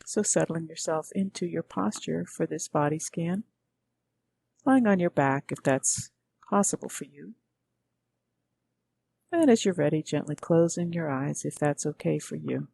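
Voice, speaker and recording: A woman guiding a meditation as a teacher, speaking gently in measured, unhurried phrases with pauses. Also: low voice, creaky, breathy edge. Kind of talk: guided meditation narration.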